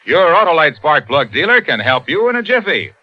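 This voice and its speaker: A bouncy, folksy cowboy salesman from 1950s radio advertising. His voice sits fairly high and swings widely in pitch. The sound is the muffled, narrow tone of an old broadcast. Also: sing-song, fairly quick. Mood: upbeat selling. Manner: bouncy, exclamatory salesman delivery. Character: excitable folksy cowboy pitchman named Harlow. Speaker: commercial pitchman.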